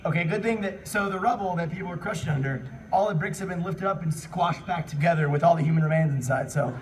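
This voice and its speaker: A man's voice: on the high side, quick pace, a little hiss or hum. A man hosting a live comedy panel, reading fan fiction aloud with amused, incredulous commentary. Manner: amused, incredulous at what is read. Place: live convention panel room. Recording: distant mic in a room with audience.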